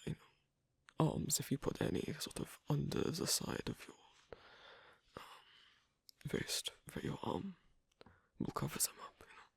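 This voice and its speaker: A performer voicing an asocial werewolf in an ASMR roleplay, hesitant and gently instructive, in a whispery, breathy, creaky voice. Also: medium pitch, a little slow, long pauses, dry recording, heavily acted. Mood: shy, gentle. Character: asocial werewolf on a first date, patiently teaching sewing.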